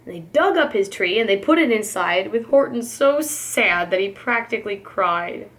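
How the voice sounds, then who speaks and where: A woman reading a storybook aloud like a preschool teacher, expressive, with emphatic, rhythmic delivery. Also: medium pitch, normal speed.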